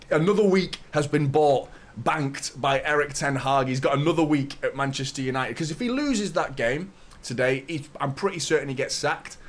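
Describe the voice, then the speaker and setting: A man in his thirties with a slightly hoarse voice, hosting a live football fan stream, chatting to viewers and ranting with strong opinions. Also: medium pitch. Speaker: host of a football fan watchalong. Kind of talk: live stream chat and commentary.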